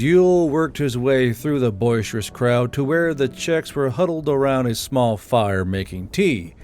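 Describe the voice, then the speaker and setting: A man's voice: medium pitch, a little mellow, slight background noise. A man reading scripted military fiction as an audiobook narrator.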